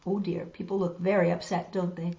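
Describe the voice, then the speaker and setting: A woman reading a picture book aloud to children, expressive and dramatic, pausing for asides to show the pictures. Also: on the low side, vocal fry. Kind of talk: animated children's story reading with asides to viewers. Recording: roomy, dull lo-fi web video.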